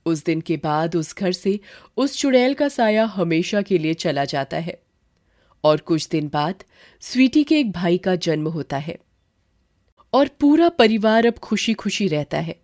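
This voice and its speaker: A woman's voice: on the low side, very animated, unhurried, darkish tone. A woman narrating a scripted horror tale in storybook style. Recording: music behind.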